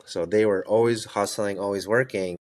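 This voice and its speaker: A man's voice: on the low side, steady tone, moderate pace, a little mellow. A man in his thirties from the United States, a guest telling his life story in a casual interview, rambling with restarts and fillers.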